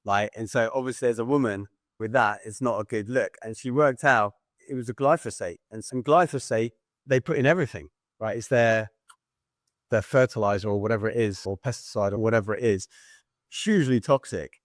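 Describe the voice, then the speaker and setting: A man's voice: medium pitch, dry recording, clean studio sound. A man hosting a podcast, chatting casually and rambling through stories over a video call. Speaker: podcast host. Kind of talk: casual conversational podcast chat.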